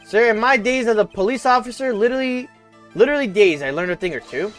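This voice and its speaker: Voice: mid-range voice, lively intonation, fast talker, soft background music, natural voice. A streamer voicing a jumpy young male rookie police officer who nervously ends every line with "sir", while reading game dialogue aloud. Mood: flustered.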